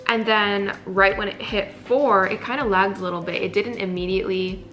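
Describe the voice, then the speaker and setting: A young woman from California chatting casually in a vlog, excitable and full of "like", her voice a bit raspy. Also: medium pitch, normal speed, soft background music. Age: young adult. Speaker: incoming college student vlogger.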